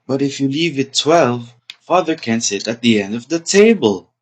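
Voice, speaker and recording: A woman's voice: very deep voice, lively intonation, normal speed. A woman playing a practical grown daughter of a society hostess in a stage comedy. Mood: matter-of-fact. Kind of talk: comedic stage-play acting.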